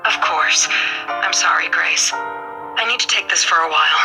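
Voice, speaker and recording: A woman's voice: low voice, unhurried, vocal fry, over music, low-quality recording. A woman playing a caring, hesitant middle-aged mother in a visual novel game. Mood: tender. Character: worried, loving mother of a struggling family.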